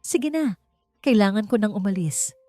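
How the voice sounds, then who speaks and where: A woman voicing a sweet, determined young lady with a gentle, friendly manner in an audio love story. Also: medium pitch, expressive, moderate pace, faint music behind, pitched above the performer's own voice, natural voice. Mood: worried.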